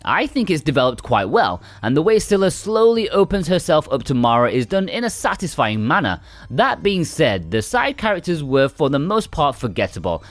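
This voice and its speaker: Male game reviewer for scripted voice-over, animated intonation, measured explanatory delivery, close and dry sound. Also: medium pitch, dry recording.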